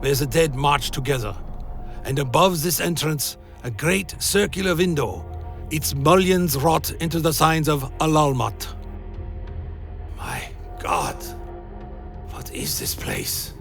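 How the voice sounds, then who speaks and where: A solemn undead vampire recounts his wanderings in deliberate, literary phrases. The voice is slightly high for a man and heavy with creak, set over faint music in a dark fantasy radio play. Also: animated, exaggerated voice. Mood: startled, questioning. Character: weary undead male vampire wanderer narrating his journey. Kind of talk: dramatic first-person scripted narration, dark fantasy radio play. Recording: music behind the voice.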